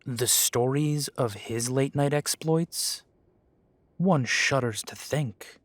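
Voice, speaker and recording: A man's voice: mid-range voice, takes it slowly, dry recording, lightly acted. An actor playing a defiant young male werewolf who answers the council with dry, mocking politeness. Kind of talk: audio drama acting.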